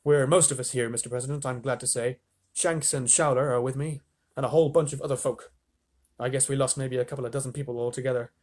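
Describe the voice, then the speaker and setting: A man's voice: medium pitch, steady tone, quick pace, a little mellow, close to an everyday voice. Man voicing a folksy, earnest astronaut. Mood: shaken, relieved. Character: folksy, earnest male astronaut reporting by radio.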